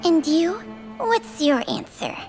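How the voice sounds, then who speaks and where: A woman's voice: extremely high pitch, sing-song, slow pace, full character voice. A woman voicing an ancient, world-weary spirit creature in a video game, with a languid, knowing manner. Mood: weary, resigned. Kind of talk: dramatic video game voice acting. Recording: music behind the voice.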